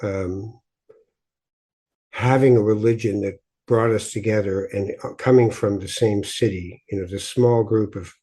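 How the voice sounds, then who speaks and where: A man's voice: on the low side, fairly even intonation, a little slow, dark tone. A middle-aged man born in Morocco, hosting a podcast and telling reflective stories with frequent restarts. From Morocco (Tangier). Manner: reflective storyteller, many restarts. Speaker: podcast host. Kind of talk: casual conversation.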